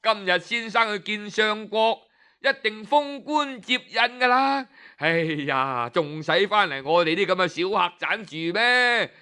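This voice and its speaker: A man voicing an eager, flattering middle-aged male innkeeper. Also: sits high, unhurried, smooth, full character voice.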